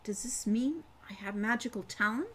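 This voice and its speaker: A woman's voice: a little high, lively intonation, normal speed, a little hiss or hum, natural voice. A woman voicing an earnest, persistent young man in a storybook, awed by magic and eager to learn it.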